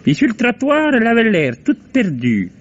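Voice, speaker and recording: An actor playing a wary old Parisian cab driver in a radio drama, with speech, hesitant and rambling. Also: male voice, very high voice, huge pitch swings, normal speed, full character voice. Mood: rambling recollection. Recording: dull vintage radio recording.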